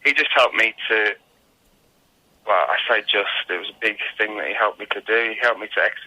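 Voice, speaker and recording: A man with a slightly low but very bright, forward voice, speaking as a musician being interviewed. He is casual and reflective, often pausing to hunt for the right words. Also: rough recording. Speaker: guest musician, singer-songwriter.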